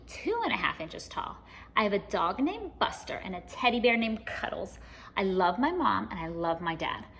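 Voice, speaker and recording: A woman's voice: mid-range voice, fairly bright tone. A woman voicing a sweet six-year-old boy who lists things simply and earnestly, in a storybook reading.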